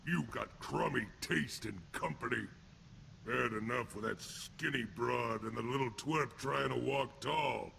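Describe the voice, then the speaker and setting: An actor playing a crude, sneering alien thug and ex-convict enforcer in a video game, taunting in blunt street-gangster slang with a rough voice. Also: male voice, mid-range voice, a little slow, pushed and bright, slight background noise, full character voice. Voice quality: gravelly, rough. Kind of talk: video game character acting.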